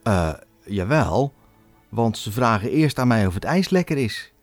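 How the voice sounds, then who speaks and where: A man's voice: medium pitch, expressive, a little slow, no echo, soft background music, close to an everyday voice. A man voicing an honest, naive young male ice-cream seller who speaks earnestly and guilelessly. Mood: plaintive.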